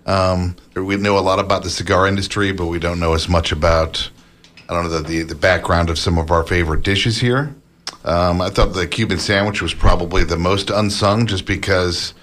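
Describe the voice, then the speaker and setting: A man's voice: low-pitched, fairly bright tone. A man answering interview questions as an author and researcher, conversational and somewhat hesitant as he thinks aloud. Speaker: author guest, food history researcher.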